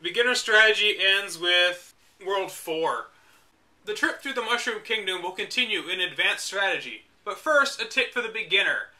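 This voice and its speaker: A man's voice: high-pitched, expressive, normal speed, sharp tone, poor audio. A man reading a game guide aloud and breaking off for wry, exasperated asides. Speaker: reader and commentator. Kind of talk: reading a book aloud with sarcastic commentary.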